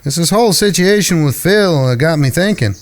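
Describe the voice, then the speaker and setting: A man's voice: fairly high pitch, animated, brisk, close to an everyday voice. A man playing an earnest, protective younger brother in a cowboy gang, plainspoken and folksy, in a western audio drama. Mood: pensive, worried.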